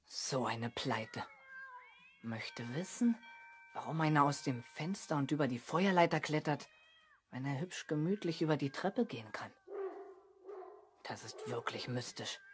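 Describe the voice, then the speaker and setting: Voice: medium pitch, animated, unhurried, pauses often, slight background noise, lightly acted. A young performer playing an eager, self-important boy detective in a 1970s German children's radio play, earnestly reasoning aloud. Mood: secretive musing. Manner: earnest, deductive, musing aloud.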